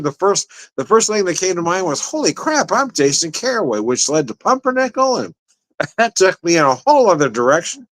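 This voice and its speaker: A man's voice: fairly high pitch, a bit bright. A man giving a casual, rambling tasting review, talking to the camera with frequent laughs and restarts. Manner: chatty, rambling, laughs often. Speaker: drinks reviewer.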